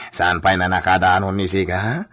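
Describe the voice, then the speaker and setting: A man playing a hearty old-fashioned gentleman with a raspy voice in a radio drama. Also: deep voice, little pitch movement, normal speed. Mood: jovial, teasing.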